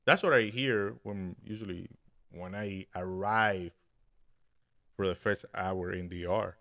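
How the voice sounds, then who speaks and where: A young man co-hosting a podcast, telling a dream story in an animated way, laughing and acting out what people said. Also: low-pitched, takes it slowly, gravelly. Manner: animated, laughing, acts out quotes. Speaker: podcast co-host. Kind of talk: casual chat, storytelling.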